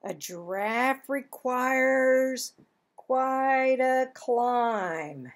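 Slow, higher-voiced older woman reading a storybook. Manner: deliberate, expressive phrasing with drawn-out words and pauses. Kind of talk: children's storybook read-aloud. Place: small home office, indoors.

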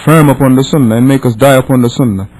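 A man's voice: mid-range voice, steady tone, fast talker, a little mellow, poor audio. A man from Senegal, a non-native English speaker, teaches a religious class as an imam, reading and explaining with emphatic repetition and rhetorical questions. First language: not English. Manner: emphatic, repeats and rephrases, asks rhetorical questions.

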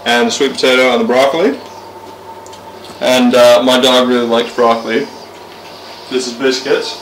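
A man's voice: mid-range voice, steady tone, moderate pace, fairly bright tone, a little hiss or hum. A young man casually talking through cooking a roast in his home kitchen, rambling with lots of fillers. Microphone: camera mic from across the room. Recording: roomy, distant camera sound.

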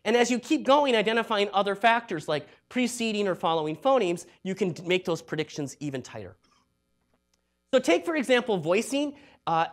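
A middle-aged man giving an academic lecture in a hall, talking in a lively, conversational way with joking asides. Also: sits high. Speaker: university researcher giving a talk.

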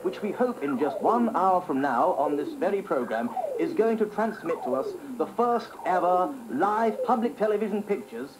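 High, animated 1960s TV news presenter. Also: male voice, moderate pace, hardly any pauses, noisy background. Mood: earnest, grand. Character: earnest 1960s male television news presenter. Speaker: television presenter. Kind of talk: formal scripted live broadcast announcing. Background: loud music.

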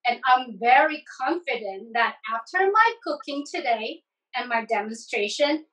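High-voiced Taiwanese-accented woman. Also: lively intonation, a little slow, fairly bright tone. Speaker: cooking demonstrator. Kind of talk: prepared cooking demonstration. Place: home kitchen. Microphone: phone a few feet away. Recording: slightly distant mic.

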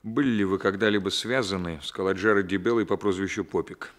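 Guy acting a stern police captain in an old radio play, low voice, dry and measured, cool irony. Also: steady tone, lightly acted. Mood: probing. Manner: terse, measured, coolly ironic. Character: firm, dry police captain leading a mafia investigation. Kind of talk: 1960s Soviet radio drama acting.

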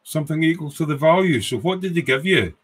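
A man's voice: a little high, lively intonation, fast, breathy edge. A man in his sixties with a Scottish accent, hosting a casual, rambling livestream chat in an informal way. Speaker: livestream host, hobbyist. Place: small home workshop room.

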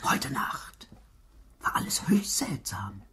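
An actress playing a sinister, domineering, scornful nurse in a radio drama, with an ominous, commanding delivery. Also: female voice, slow, fairly bright tone, whispered. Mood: hushed, ominous. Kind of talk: German radio drama acting.

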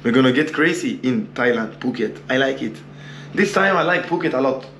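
A young man with a Brazilian accent talks straight into a camera he holds at arm's length in a small hotel room. He speaks loose, boastful, broken English, repeating his words at an ordinary pitch. Faint noise sits underneath. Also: lively intonation, a little fast, fairly bright tone. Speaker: travel vlogger. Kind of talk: casual vlog talk to camera. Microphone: camera held at arm's length.

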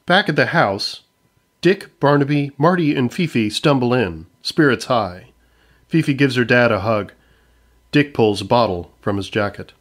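A man's voice: mid-range voice, lively intonation, measured pace. A man reading scripted narration for a comedy audio drama. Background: music.

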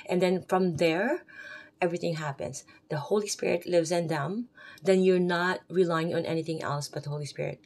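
Filipino lady talking about faith off the cuff, low voice, wanders off topic a lot. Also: steady tone, moderate pace, darkish tone. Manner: rambling, off the cuff, chatty asides. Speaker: faith vlogger. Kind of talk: unscripted religious talk to camera.

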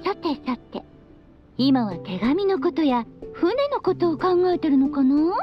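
A free-spirited witch mother for an anime game. Her high voice is breezy and teasing, yet doting. The sound is slightly dulled, with music playing behind her. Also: very animated, measured pace, lo-fi sound. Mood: playful. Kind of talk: Japanese anime game voice acting.

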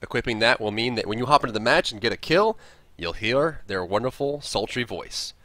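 A man's voice: mid-range voice, animated, brisk, bright, forceful tone, no echo. A man presenting a scripted video tutorial, explaining things step by step. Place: close, dry voice-over recording.

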